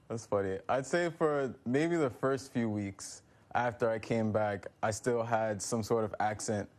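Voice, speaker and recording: A young man from New York answering interview questions in a relaxed, casual way, with frequent restarts. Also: lowish voice, a little slow. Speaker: guest.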